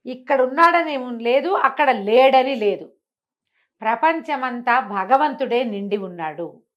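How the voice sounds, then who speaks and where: Lady storyteller, highish voice, expressive, explains stuff with lots of rhetorical questions. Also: measured pace, muffled recording. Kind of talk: animated oral storytelling of a moral fable, acting out dialogue.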